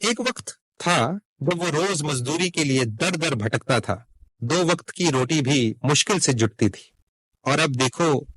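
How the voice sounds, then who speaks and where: A man's voice: on the high side, fairly bright tone, dry recording, poor audio. A man playing a marvelling village gossip in a cartoon moral story.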